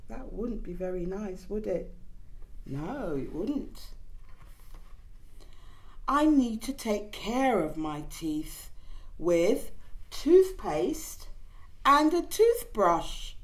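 Very slow, animated storytelling woman. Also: medium pitch. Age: middle-aged. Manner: expressive, gentle teacherly way with frequent questions to children. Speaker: children's story time reader. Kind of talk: reading a picture book aloud to young children. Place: indoors in front of a decorated backdrop.

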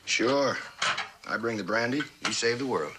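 An actor playing a teasing, charming old college friend in a mid-century television drama, wry and bantering. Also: male voice, mid-range voice, expressive, normal speed, natural voice. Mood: easygoing. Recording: noisy old television soundtrack with clicks.

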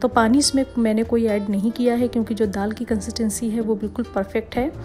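A young woman with a Rajasthani accent, narrating a cooking vlog and explaining each step calmly. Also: a little high, fast talker, faint music behind. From India. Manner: steady explanatory, step-by-step instructions. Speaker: vlogger.

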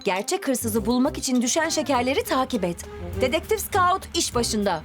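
Lady narrator for little kids cartoon, high voice, really expressive, asks lots of questions, music behind her. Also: normal speed. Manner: expressive, exclamatory, talks to the characters and asks questions. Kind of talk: scripted storytelling narration for young children.

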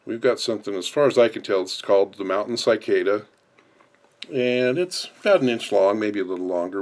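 Guy w a low voice doing a how-to, explaining stuff step by step off the cuff. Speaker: instructor. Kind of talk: hands-on tutorial narration.

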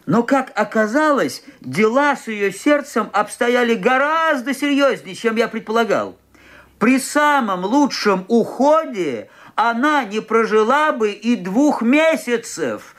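A man's voice: extremely high pitch, a bit bright, full character voice. An actor playing a fussy, self-satisfied old doctor in a radio play, rambling as he talks on the phone. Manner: rambling, fussy, talking on the telephone. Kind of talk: Soviet-era radio play acting.

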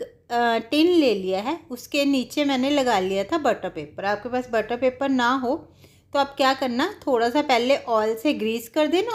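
A woman giving casual, step-by-step cooking instructions, explaining things directly to viewers. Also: high-pitched. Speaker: home cook giving a recipe tutorial.

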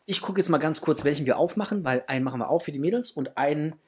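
A man's voice: a little high, fast, a little mellow, husky. A middle-aged man gives a casual unboxing chat to viewers, enthusiastic and full of superlatives. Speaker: toy collector vlogger, host. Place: small indoor home room with framed posters.

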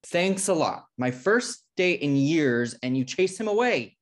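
A man's voice: on the high side, very animated, a little slow. A man playing a fussy, tidy, exasperated brother in a comedy table read. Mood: angry. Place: indoor room on a video call. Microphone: video call.

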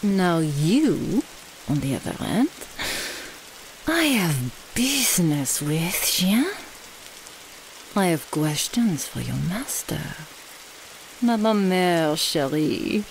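A woman acts out a taunting French servant of vampires in an audio roleplay. Her voice is on the low side and swoops expressively, with very drawn-out, menacing delivery over faint hiss. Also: big pitch swings, exaggerated voice. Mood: cold menace. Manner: slow, deliberate, mocking.

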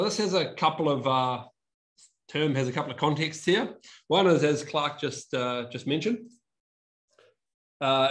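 Young New Zealand man giving a casual talk. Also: mid-range voice. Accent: New Zealand, softened by years in Australia. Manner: casual, chatty, restarts words often, laughs at himself. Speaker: conference speaker. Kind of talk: online conference presentation. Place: room with a whiteboard and brick wall, indoors. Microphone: video call webcam.

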